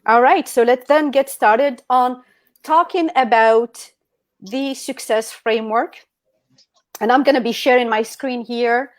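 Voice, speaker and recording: A woman's voice: on the high side, a little slow, dry recording. A woman from Algeria giving an earnest talk as a guest speaker over a video call, with frequent fillers like "like" and "um".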